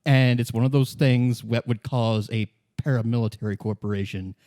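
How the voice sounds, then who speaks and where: A man's voice: medium pitch, steady tone, moderate pace, mellow, no echo, studio quality. A man acting as game master, narrating a tabletop roleplaying game for his player.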